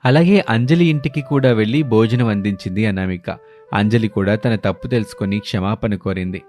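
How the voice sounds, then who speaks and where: A man's voice: fairly low pitch, moderate pace, darkish tone. A man narrating a scripted moral story as the storyteller.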